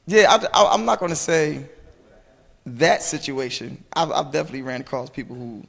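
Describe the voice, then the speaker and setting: A hoarse young man talking loosely on a panel, rambling with lots of fillers. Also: a little high, moderate pace, fairly bright tone. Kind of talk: casual panel discussion. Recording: long reverb, faint noise.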